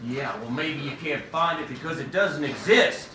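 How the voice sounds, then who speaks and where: A man's voice: a little high, expressive, moderate pace, close to an everyday voice. A man acting a brash, folksy, skeptical fellow in a stage version of a radio play, speaking in exclamatory, colloquial turns. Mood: tired, grumbling. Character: brash, folksy, skeptical young workman living with mama. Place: theatre stage, picked up from afar. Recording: echoey, distant stage recording with faint noise.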